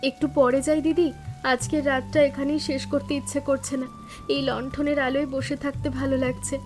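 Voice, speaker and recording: A woman playing a timid, grateful younger sister-in-law in an animated family drama, speaking in an earnest, emotional, deferential way. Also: sits high, fairly quick, close to an everyday voice. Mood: tender. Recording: music bed with rain sounds.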